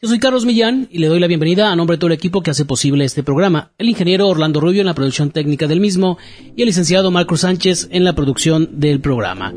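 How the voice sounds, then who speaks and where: A man hosting a radio jazz program, reading an artist's biography in a measured, formal manner. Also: a little high, expressive, a little mellow.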